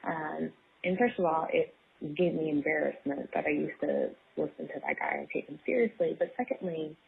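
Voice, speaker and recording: A woman leaving a voicemail, speaking haltingly and correcting herself as she goes. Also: sits low, creaky, breathy edge. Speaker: voicemail caller. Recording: tinny phone voicemail.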